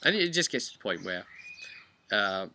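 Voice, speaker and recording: Guy game master chatting thru his rpg session, really bright punchy voice, bit low, stops and starts, stresses words. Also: sing-song, normal speed, a little hiss or hum. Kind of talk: casual recap of a tabletop role-playing game.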